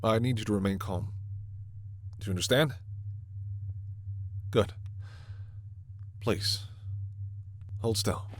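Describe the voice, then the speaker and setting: Man acting a slow, low-voiced alien lizard doctor. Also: a little hiss or hum. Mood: urgent reassurance. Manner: formal, measured, precise diction. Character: male alien lizard-feathered doctor, stern, authoritative yet reassuring scientist. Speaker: voice actor in audio roleplay. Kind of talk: ASMR sci-fi roleplay acting.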